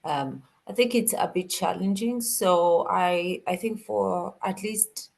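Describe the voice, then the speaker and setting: A woman's voice: lowish voice, takes it slowly, gravelly, darkish tone. A woman speaking as a business panelist on a video call. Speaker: panelist, leather goods manufacturer. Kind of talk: panel talk.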